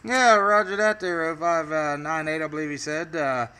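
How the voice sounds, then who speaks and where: A man's voice: a little high, fairly even intonation, normal speed. American CB radio operator, man, heavy static. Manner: folksy, uses radio jargon. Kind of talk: on-air CB radio contact chatter. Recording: loud radio static and noise. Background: radio static and noise.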